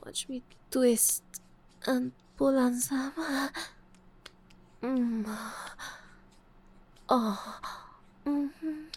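A woman whispering a teasing, breathy roleplay, slipping in French endearments and soft laughs. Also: sits high, fairly even intonation, very slow, long pauses, a little hiss or hum. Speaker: audio roleplay performer.

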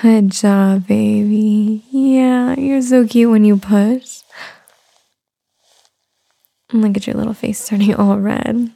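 A woman in a soft-spoken roleplay, whispering and cooing in a soothing way. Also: medium pitch, steady tone, slow pace. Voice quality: whispery, breathy. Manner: cooing, soothing, talks as to an infant. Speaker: roleplay performer.

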